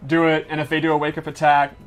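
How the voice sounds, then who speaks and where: A man with a slightly high voice walks through fighting-game mechanics in a casual style. He is enthusiastic and technical, explaining things as he goes. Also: completely flat delivery, quick pace. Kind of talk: casual gameplay breakdown.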